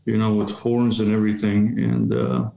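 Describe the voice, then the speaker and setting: A man, telling his life story in measured phrases on a video call. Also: lowish voice, big pitch swings, gravelly, warm tone. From Romania. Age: 50s. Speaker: guest, seminary professor and pastor. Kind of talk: autobiographical interview answer. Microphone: webcam video call. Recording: roomy, echoing video-call sound.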